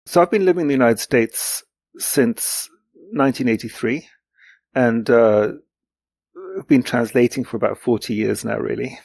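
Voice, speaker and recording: A man in his sixties whose mother tongue is German, speaking reflectively and haltingly as an interview guest, often repeating words for emphasis. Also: medium pitch, gravelly, a little mellow, no room sound. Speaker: guest, literary translator. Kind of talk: reflective podcast interview talk.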